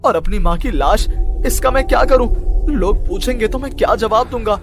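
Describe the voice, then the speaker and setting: A man's voice: high-pitched, moderate pace, over music. A man playing a panicky, haunted male writer who talks in agitated, repetitive disbelief in a horror cartoon story. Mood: panicked. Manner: agitated, repeats himself in disbelief.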